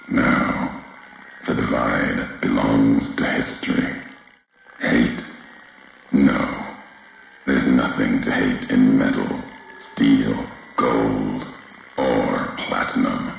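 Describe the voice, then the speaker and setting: An actor playing a solemn, embittered wasteland wanderer in a video game, speaking gravely in clipped, cryptic phrases with a gravelly voice. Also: male voice, slight background noise, heavily acted. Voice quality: very deep, gravelly. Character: brooding, embittered wasteland courier, solemn and vengeful man. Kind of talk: dramatic video game monologue. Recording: heavily echoing, roomy sound.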